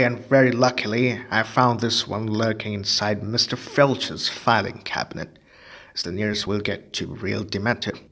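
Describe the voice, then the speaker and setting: A man doing a patient, slow-spoken wizard teacher. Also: mid-range voice, pitched above the performer's own voice, close to an everyday voice. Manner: slow, emphatic and instructive. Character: kindly adult male wizard teacher, patient.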